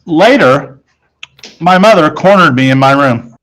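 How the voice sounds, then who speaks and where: A man's voice: a little high, expressive, unhurried, a bit bright, rough recording. A man narrating a children's book in the first person, reading from the text as a storyteller.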